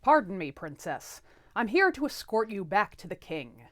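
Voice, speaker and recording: A woman's voice: mid-range voice, sing-song, moderate pace, lightly acted. A woman voicing a gallant young fairy prince, earnest and courtly, in a storybook tale.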